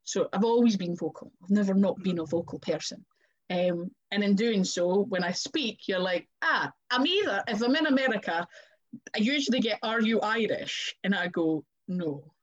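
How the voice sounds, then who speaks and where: A woman's voice: mid-range voice, animated, normal speed, highs cut off. A Scottish woman and wrestler, chatting casually and acting out little quoted exchanges. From Scotland. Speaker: wrestler and podcast guest. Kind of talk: casual chat.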